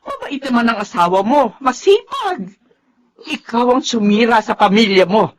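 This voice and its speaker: A woman's voice: on the high side, big pitch swings, normal speed. An actress playing a dismayed mother scolding her grown daughter in a Filipino radio drama, reproachful and lamenting, with a Filipino accent.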